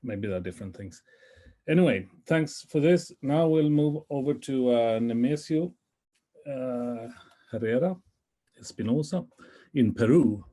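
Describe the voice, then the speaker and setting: A man moderates an online conference session over a video call. His voice is mellow and relaxed, and he talks in a polite, chatty way that rambles a little. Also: mid-range voice, measured pace.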